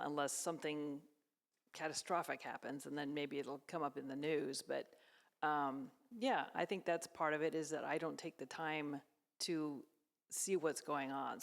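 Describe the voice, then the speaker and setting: A woman speaking tentatively and haltingly in a casual group discussion. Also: low voice, gravelly. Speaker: class participant. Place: echoing room at a church. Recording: reverberant hall.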